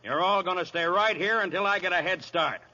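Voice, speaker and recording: An American actor playing a crooked, bullying police chief in old radio comedy style, gruffly barking orders in gangster slang. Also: male voice, high-pitched, a little fast, bright, forceful tone. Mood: smug, threatening. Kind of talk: 1930s-40s radio comedy acting. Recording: dull vintage radio broadcast.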